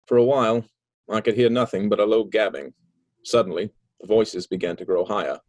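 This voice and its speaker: A man narrating a story in an even, storytelling manner. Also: fairly low pitch, fairly quick, no room sound.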